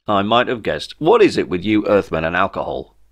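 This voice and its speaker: A man's voice: low-pitched, big pitch swings, brisk. A man voicing a chatty, matter-of-fact alien spaceship pilot in a comic sci-fi audiobook. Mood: exasperated.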